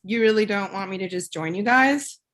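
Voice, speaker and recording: A woman's voice: medium pitch, normal speed, a bit bright, lightly acted. A woman playing a suspicious, blunt ex-girlfriend in her thirties in a table read, questioning in a conversational way. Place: online live stream table read.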